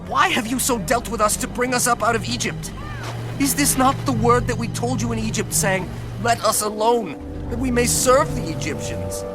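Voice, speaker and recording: A man's voice: extremely high pitch, normal speed, music in the background. An actor playing a distraught, fearful Israelite man, accusing and pleading in a dramatized audio Bible. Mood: panicked, accusing.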